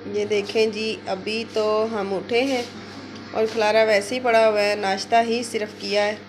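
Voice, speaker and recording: A woman's voice: medium pitch, little pitch movement, moderate pace, music in the background, rough recording. A woman in her thirties with a sore throat, chatting to viewers in a home vlog, filming on a phone at arm's length. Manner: chatty, addresses viewers directly. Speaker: family vlogger. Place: home bedroom and rooftop with hens.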